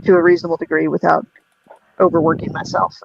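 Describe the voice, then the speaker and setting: A woman with a low voice, a singer who also runs live sound, chatting loosely on a group call with lots of restarts. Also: flat delivery, fast, creaky, muffled recording, a little hiss or hum. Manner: rambling, restarts, self-deprecating laughs. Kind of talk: casual group video-call chat.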